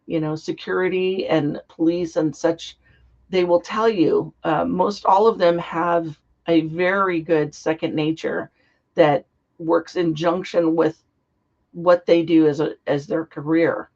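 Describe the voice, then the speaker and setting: Woman guest, slightly hoarse from a cold, earnest and heartfelt delivery; gravelly voice, muffled lo-fi recording. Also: on the low side, steady tone, unhurried, darkish tone. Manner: earnest, heartfelt, flowing explanations. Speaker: guest psychic. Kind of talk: interview conversation. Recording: dull lo-fi sound.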